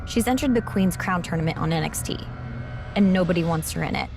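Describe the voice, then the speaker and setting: A composed, fearless woman wrestler in a dramatic game cutscene. Her lowish voice is measured and serious, with clear music underneath. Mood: reproachful. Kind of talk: dramatic scripted video game dialogue. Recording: music behind voice.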